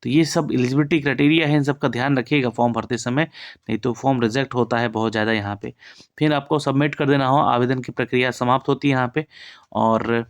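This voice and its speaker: A man's voice: medium pitch, clear, resonant tone. A man giving an informal, instructive walk-through of an official notice, often reminding listeners what to note. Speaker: presenter of a job exam information channel.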